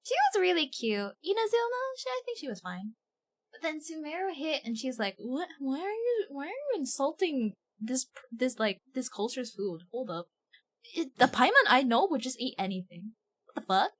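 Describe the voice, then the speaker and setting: A woman hosting a casual game livestream, chatting in a giggly, excitable, gushing way with plenty of swearing. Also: high-pitched, moderate pace. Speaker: livestream host.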